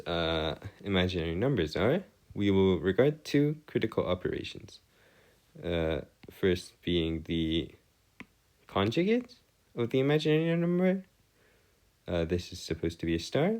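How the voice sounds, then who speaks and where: A man with a very low voice explains a lesson as a tutor. His pitch moves in lively swings. He chooses formal words, hesitates between short phrases and often corrects himself. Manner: formal wording, halting, self-correcting. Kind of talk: informal maths lesson over a digital whiteboard.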